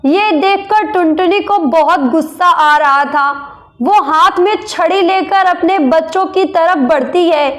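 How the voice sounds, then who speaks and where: A woman's voice: very high-pitched, a little slow, fairly bright tone. A woman reading a scripted children's story as its narrator.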